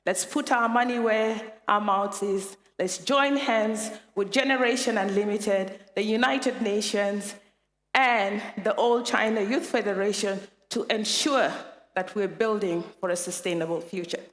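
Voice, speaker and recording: A woman's voice: mid-range voice, measured pace. A woman with a Kenyan accent gives an emphatic, rallying public speech as a forum speaker. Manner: emphatic, rallying, rhetorical questions. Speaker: forum speaker, youth partnership representative. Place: large event hall, over a PA. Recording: echoey hall with long reverb.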